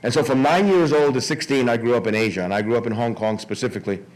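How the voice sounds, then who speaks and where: A man's voice: mid-range voice, fast talker, poor audio. A man giving an informal public speech, often repeating words and restarting as he goes. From Miami, United States. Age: 60s. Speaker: conference speaker.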